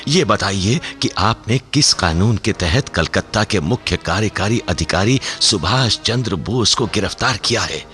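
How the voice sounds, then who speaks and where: An actor playing a dignified, indignant Indian lawyer in a radio play, formal and emphatic like a courtroom orator. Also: male voice, fairly low pitch, expressive, measured pace, hardly any pauses, a bit bright. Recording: music behind the voice.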